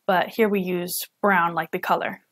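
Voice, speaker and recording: A woman's voice: fairly low pitch, little pitch movement, a bit bright. Young woman voicing a signed lecture. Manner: halting, with self-corrections and restarts. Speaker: student sign language interpreter.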